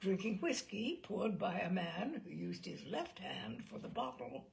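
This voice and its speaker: Woman narrator, memoir reading, gravelly, lively swinging pitch, dramatic phrasing. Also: measured pace. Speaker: storyteller reading a memoir aloud. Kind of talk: expressive audiobook-style narration.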